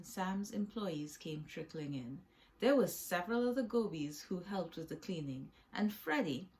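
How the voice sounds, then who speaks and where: A woman's voice: lowish voice, a little mellow. A woman reading her own children's book aloud as a storyteller, with short asides to the listener.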